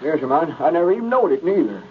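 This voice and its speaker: An actor playing a slow-witted, good-natured young country fellow, simple and hesitant, with a rural Southern accent in a 1930s radio comedy. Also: male voice, on the high side, big pitch swings. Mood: earnest, simple. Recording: tinny, noisy old radio recording.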